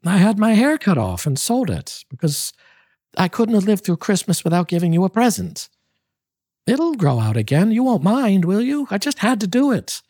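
An anxious, loving young wife played by a man pleads earnestly in a high-lifted voice. The delivery is theatrical storybook drama, slightly husky, recorded dry and close. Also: big pitch swings, moderate pace, a little mellow, no echo. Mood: pleading.

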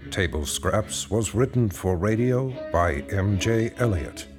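A man's voice: fairly low pitch, expressive, unhurried. A man reading scripted credits as the announcer of a radio drama show. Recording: music under the voice.

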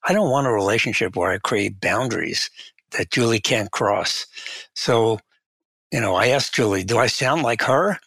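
A man's voice: on the low side, animated, moderate pace, vocal fry, a bit bright, slightly rough, dry recording, studio quality. A man in his eighties, speaking as a guest in an interview and telling a story conversationally. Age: 80s. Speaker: guest, researcher and author.

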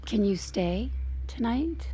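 High-voiced, pleading estranged wife. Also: female voice, lively intonation, slow pace, mellow, slight background noise, poor audio. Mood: soft, intimate. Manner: pressing, questioning, pleading. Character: hurt, clinging, sharp-tongued estranged wife. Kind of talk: naturalistic audio drama acting.

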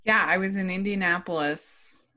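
A woman's voice: on the low side, fairly even intonation, normal speed, sharp tone. A middle-aged woman hosting a podcast, chatty and laughing often, with many fillers. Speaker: podcast host. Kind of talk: casual chat.

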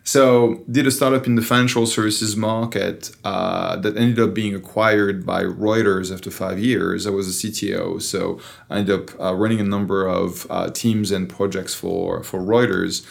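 A middle-aged man from France with a French accent, telling his story as a guest in a relaxed, dry way. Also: deep voice, normal speed, gravelly, few pauses. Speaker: interview guest, venture investor. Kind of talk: storytelling in an interview.

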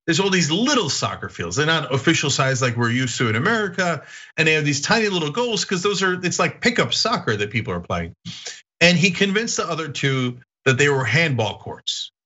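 Man raised in Turkey, lively storyteller. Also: on the high side, dull audio. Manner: animated storytelling, laughs often. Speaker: podcast host. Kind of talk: casual chat.

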